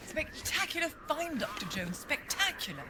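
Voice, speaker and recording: Shrewd museum patroness for game cutscenes: gracious, theatrical, very expressive intonation. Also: female voice, high-pitched, moderate pace, music in the background. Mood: delighted, conspiratorial. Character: genteel, shrewd Egyptian dame and museum benefactor. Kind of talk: video game cutscene acting.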